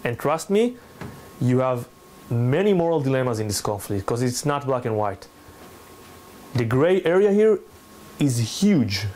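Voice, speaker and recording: A man in his thirties presents a talk with an Israeli accent and a mid-range voice. His English is non-native and halting, and he presses his points with emphasis. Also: mid-range voice, big pitch swings, darkish tone, a little hiss or hum. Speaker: lecturer, NGO researcher. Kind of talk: public lecture with slides. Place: indoor salon room, speaking to a small audience.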